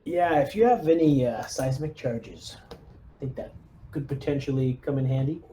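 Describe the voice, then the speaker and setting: A man chatting casually as a player in a tabletop game, speaking politely and haltingly. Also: medium pitch, expressive, warm tone. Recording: noticeable background noise.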